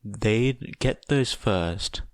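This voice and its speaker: A man reading a story aloud as scripted narration, in a measured, deliberate way. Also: fairly low pitch, no room sound. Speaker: audiobook narrator of fan fiction.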